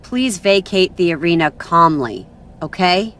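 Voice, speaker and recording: A woman's voice: on the low side, animated, unhurried, a bit bright, slight background noise. A woman playing an officious, authoritative general manager in a wrestling video game, controlled and firm. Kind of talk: scripted wrestling game cutscene acting.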